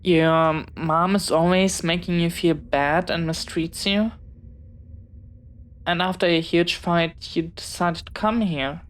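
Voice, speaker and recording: An unhurried, slightly low voice for a young female pirate acted by a woman in an audio roleplay. She is chatty and bookish, yet shy and apologetic, with hesitant, polite, earnest phrasing. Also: steady tone. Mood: apologetic, sympathetic. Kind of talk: intimate scripted audio roleplay.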